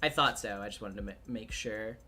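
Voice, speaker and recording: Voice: medium pitch, brisk, pushed and bright, slight background noise. A livestreamer and voice actor with a male-range voice, chatting casually in a hesitant, thinking-aloud way.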